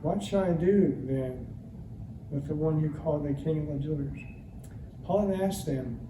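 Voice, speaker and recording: A man's voice: fairly high pitch, measured pace. A man reading scripture solemnly as a church member at a service, recorded in a church. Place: large indoor church, distant mic. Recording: echoey church from far off, clear noise.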